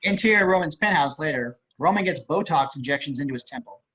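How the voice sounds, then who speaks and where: A man narrates a script at a table read. His voice is fairly high and forceful, carried over slightly dull video-call audio. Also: lively intonation, quick pace, breathy edge. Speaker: narrator of stage directions.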